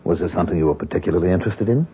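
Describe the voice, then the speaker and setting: An actor playing a courteous, reassuring university professor with a measured delivery in a radio drama. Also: male voice, low voice, expressive, noisy background. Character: smooth-talking, reassuring male university professor with secrets. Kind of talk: 1970s radio drama acting. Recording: dull old radio broadcast.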